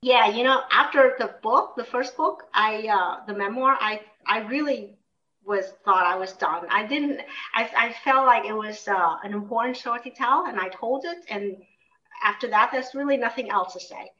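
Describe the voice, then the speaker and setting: A woman's voice: on the high side, normal speed, sharp tone. Middle-aged woman, distant and muffled. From born in Vietnam until twelve, then United States. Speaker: interviewed memoir author. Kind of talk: conversational interview answers. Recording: distant mic, dull muffled sound.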